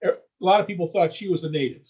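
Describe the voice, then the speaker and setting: A man from the United States in a casual interview, rambling warmly and tagging his sentences with "okay?" and "you know?". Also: high-pitched, very fast, husky, highs cut off.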